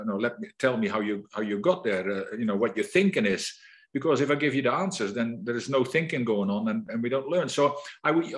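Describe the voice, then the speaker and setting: Reflective Dutch-accented man. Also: medium pitch. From Netherlands. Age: middle-aged. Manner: reflective, with frequent restarts. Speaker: guest. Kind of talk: conversational interview.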